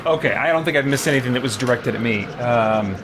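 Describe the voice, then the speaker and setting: A man's voice: mid-range voice, fast talker. A man live-streaming a video game, chatting informally with viewers and thinking aloud as he plays. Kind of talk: casual live-stream chat and gameplay commentary. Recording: clear background noise from game ambience.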